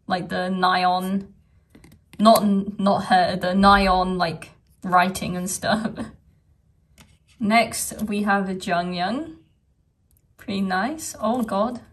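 A woman vlogger talking casually through an unboxing, her voice a bit croaky from a sore throat, soft-spoken and chatty. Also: mid-range voice, steady tone, slow pace, distant mic. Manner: soft-spoken, chatty, frequent small laughs.

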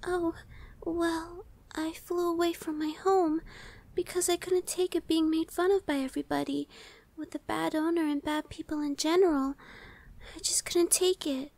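A woman voicing a timid, anxious young female gargoyle in an ASMR roleplay, soft, girlish, hesitant and stammering. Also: very high-pitched, steady tone, moderate pace. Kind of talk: ASMR audio roleplay acting.

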